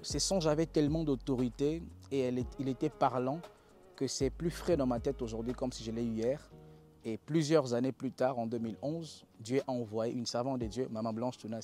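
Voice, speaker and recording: Young Congolese pastor giving testimony. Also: male voice, mid-range voice, dark tone. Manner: earnest, preacherly, with hesitant restarts. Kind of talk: personal testimony talk.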